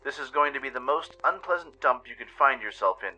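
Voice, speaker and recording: A man's voice: mid-range voice, lively intonation, brisk, sharp tone, soft background music, voice pushed up, rough recording. A man voicing a cheery but nervous pony who hides in a cardboard box, in an audio drama. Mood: glum. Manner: cheery, chirpy. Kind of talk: audio drama character acting.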